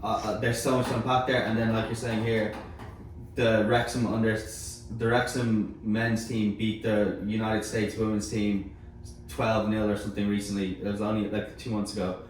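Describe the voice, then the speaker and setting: A man talks through an argument in a casual panel chat. His voice is fairly low with little melodic movement. The room echoes heavily and he is some way from the mic. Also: little pitch movement, moderate pace. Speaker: sports team panel member. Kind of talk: casual round-table discussion. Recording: very echoey room, distant mic, faint noise.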